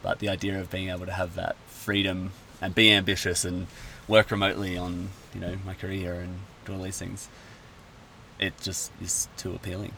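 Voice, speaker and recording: A man from Australia, a guest in a casual chat, talking in a relaxed, rambling way. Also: low voice, normal speed, vocal fry, slight background noise.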